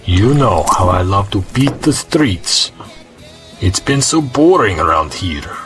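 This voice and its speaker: Easygoing fight-world sidekick. Slow, deep delivery, swaggering and familiar, music underneath. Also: male voice, sing-song. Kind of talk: audio drama acting. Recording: music behind.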